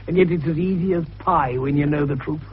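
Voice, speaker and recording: A man's voice: fairly high pitch, fairly quick, breathy edge. A man playing a jovial, shrewd older British doctor turned detective in 1950s radio drama, avuncular, folksy and teasing. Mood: jovial, confident. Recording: dull vintage radio recording.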